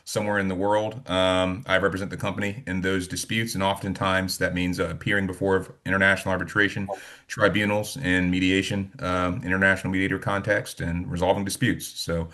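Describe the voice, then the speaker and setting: Deep, even, forceful man from South Carolina. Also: fairly quick. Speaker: panelist, in-house litigator. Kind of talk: informal professional self-introduction.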